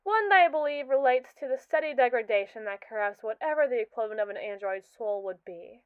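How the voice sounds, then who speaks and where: A woman voicing a condescending, obsessive android in an ASMR roleplay, speaking in formal, precise sentences with a smooth voice. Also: a little high, lively intonation, quick pace, dry recording, close to an everyday voice. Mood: earnest. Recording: tinny, dry, phone-like sound.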